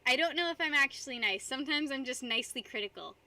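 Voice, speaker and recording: A woman's voice: high voice, animated, quick pace, sharp tone. A young woman streaming live, chatting casually with her viewers and rambling between frequent giggles. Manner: giggly, rambling, reads chat aloud.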